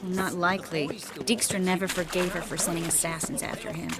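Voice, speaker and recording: A woman's voice: fairly low pitch, steady tone, brisk, close to an everyday voice. A woman playing a composed, purposeful ally of a monster hunter in a video game, earnest and focused. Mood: concerned.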